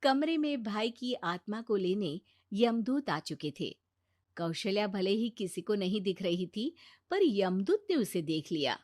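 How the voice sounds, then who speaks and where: A woman's voice: medium pitch, sing-song, a little slow, darkish tone, dry recording, clean studio sound. A woman narrator reading a scripted cartoon bedtime story.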